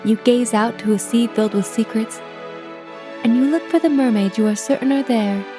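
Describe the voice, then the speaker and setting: Music plays behind a 1950s telephone operator acted by a woman telling a poetic story. She is dreamy and flirtatious, with a slightly high voice. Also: moderate pace, close to an everyday voice. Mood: dreamy, wistful. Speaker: narrator. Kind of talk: poetic scripted narration.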